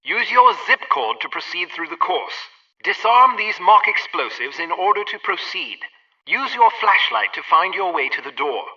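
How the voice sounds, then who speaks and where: An actor playing a brisk gadget-lab instructor in a video game, giving precise, emphatic training directions. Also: male voice, high voice, sharp tone, far from the mic, lo-fi sound, heavily acted.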